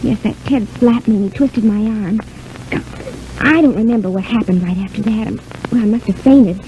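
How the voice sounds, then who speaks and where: A woman's voice: medium pitch, moderate pace, mellow, lightly acted. An actress playing a plucky, naive young girl in an old-time radio serial, earnest and chatty. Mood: earnest, shaken. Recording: muffled, noisy old radio recording.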